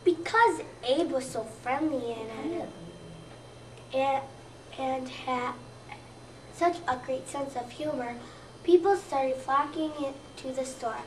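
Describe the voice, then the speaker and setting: A young child of about seven or eight, a second grader, reading a scripted narration aloud in a careful, halting way. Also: high voice. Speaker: second-grade student reader. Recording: roomy, distant mic, noisy background.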